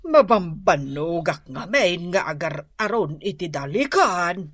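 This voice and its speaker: A woman playing a middle-aged rural mother in a comedy radio drama, with an Ilocano accent and a drawn-out, hesitant, exclaiming way of talking. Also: lowish voice, big pitch swings, breathy edge, no room sound, heavily acted. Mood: hesitant, wheedling. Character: whiny, wheedling rural mother, easily flustered, laughing.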